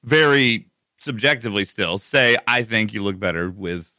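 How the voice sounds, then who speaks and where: A man near forty trades playful jabs on a podcast. His voice is bright and sharp, and his pitch moves around a lot. The sound is dry and close. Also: expressive, normal speed, no room sound. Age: 30s. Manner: teasing, playful banter. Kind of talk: casual chat.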